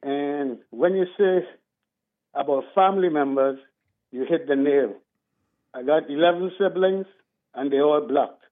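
A man's voice: on the high side, measured pace, long pauses. A man from Trinidad, calling in to a radio show and speaking earnestly in a rambling way. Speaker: caller. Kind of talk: call-in talk radio. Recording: tinny phone line.

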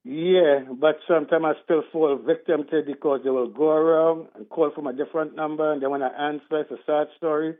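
A man's voice: a little high, steady tone, brisk, hardly any pauses. A man from Trinidad, calling in to a radio show and speaking earnestly in a rambling way. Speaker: caller. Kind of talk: call-in talk radio. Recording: tinny phone line.

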